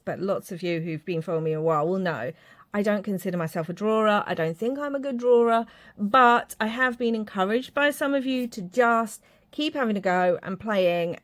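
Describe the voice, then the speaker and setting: A woman from Ireland, talking casually to her viewers while she works on a craft project, rambling a little and poking fun at her own drawing skills. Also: mid-range voice. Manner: chatty, self-deprecating, rambling with fillers. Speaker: crafting vlogger. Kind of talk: casual craft tutorial chat.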